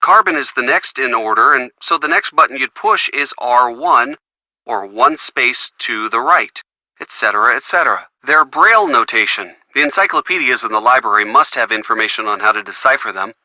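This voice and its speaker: A man's voice: mid-range voice, expressive, moderate pace, bright, forceful tone, no echo. A man playing an affectionate, playful young boyfriend giving help in a video game phone call. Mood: helpful. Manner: playful, teasing.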